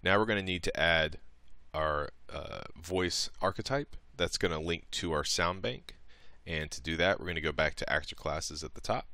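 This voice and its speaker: A man narrating a casual step-by-step software tutorial in an informal, somewhat hesitant way, thinking aloud as he goes. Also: sits low, normal speed, hardly any pauses, dry recording. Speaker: tutorial presenter.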